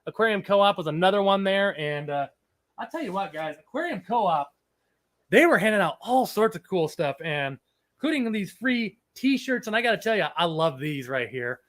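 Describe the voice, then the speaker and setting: A man with a fairly high voice hosts a relaxed live stream, his throat a touch scratchy from a cold. He rambles easily and stops to greet viewers from the chat. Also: moderate pace. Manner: chatty, rambling, reads chat aloud. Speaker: livestream host.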